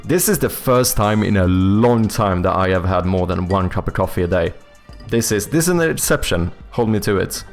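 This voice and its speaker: A Swedish man with a low, raspy-edged voice chats his way through a live stream, muttering to himself as he tinkers. His English carries a Swedish accent and an unhurried, casual swagger. Faint music plays in the background. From Sweden. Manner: thinks aloud, muttering while working; relaxed, swears casually. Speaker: streamer and game developer. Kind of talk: casual livestream chat.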